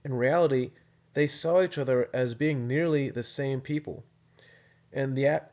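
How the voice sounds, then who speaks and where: A man's voice: mid-range voice, a little mellow, lo-fi sound. An American man presenting a video lesson in a casual, explanatory way, often restarting his sentences. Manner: thoughtful, with frequent restarts and fillers.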